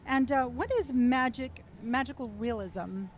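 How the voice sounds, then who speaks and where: Brief chatty woman host, high bright voice, festival interviewer. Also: a little slow, a little hiss or hum. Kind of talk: event interview hosting.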